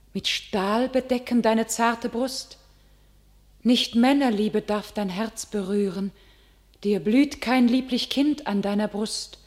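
An actress playing a young shepherdess turned holy warrior, declaiming classical verse in a solemn, exalted manner in a radio drama. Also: female voice, medium pitch, darkish tone, natural voice.

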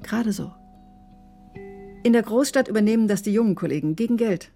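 An actress playing a brusque, sardonic emergency doctor who recounts a case in clipped, matter-of-fact radio drama narration. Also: female voice, mid-range voice, fairly quick, gravelly, mellow, soft background music, natural voice. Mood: wry, indignant. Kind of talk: German radio drama, dry first-person narration.

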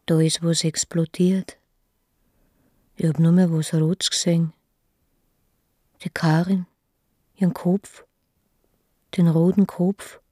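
A confused, pious Austrian mother confessing in a radio play. Her voice is dark and muted, recorded very dry. She speaks haltingly in simple, childlike phrases that circle back on themselves. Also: on the low side, no echo. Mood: haunted, dazed. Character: devout, mentally confused mother confessing to killing her daughter. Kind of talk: naturalistic radio drama monologue.

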